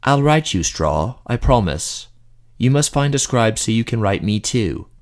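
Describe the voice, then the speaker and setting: A man voicing a young female dark elf queen, earnest, hesitant and girlish, in a fantasy audio drama. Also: mid-range voice, very animated, measured pace. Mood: tender.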